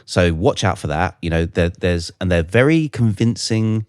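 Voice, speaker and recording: Deep-voiced British man, close and dry. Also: expressive, moderate pace, a little mellow, clear, resonant tone, no echo. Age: middle-aged. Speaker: podcast co-host. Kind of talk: casual podcast discussion.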